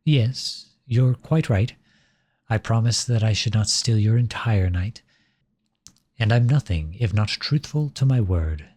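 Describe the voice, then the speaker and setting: A man playing a flirtatious, silver-tongued royal at a masquerade ball in an ASMR roleplay, speaking in courtly, formal, flattering phrases with a husky voice. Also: lowish voice, measured pace, dry recording, clean studio sound. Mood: charming, pleased. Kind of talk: ASMR roleplay, scripted romantic monologue addressed to listener.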